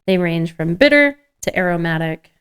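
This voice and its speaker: A woman's voice: on the low side, expressive, moderate pace, fairly bright tone, clear, resonant tone. A woman co-hosting a podcast with informal, jokey conversational banter. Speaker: podcast co-host. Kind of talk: casual co-hosted podcast banter.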